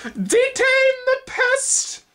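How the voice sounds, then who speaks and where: Shrill screeching glass insect. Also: male voice, very animated, very slow, sharp tone, full character voice. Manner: squabbling, indignant. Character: shrill fussy giant glass insect gardeners.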